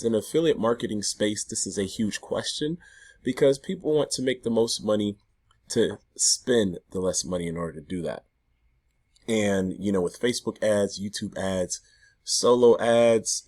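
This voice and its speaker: Hoarse, deep-voiced man vlogging from his garage. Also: a little mellow. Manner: chatty, loose, self-interrupting. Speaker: affiliate marketing vlogger. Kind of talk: casual talk to camera. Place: home garage.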